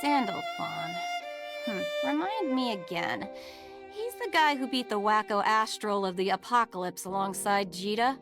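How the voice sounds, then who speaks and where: A woman's voice: mid-range voice, very animated, a little slow. A woman playing a skeptical, analytical scientist in a video game, speaking in a wry, matter-of-fact, colloquial way. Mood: puzzled, wry. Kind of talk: scripted video game dialogue. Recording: orchestral music behind the voice.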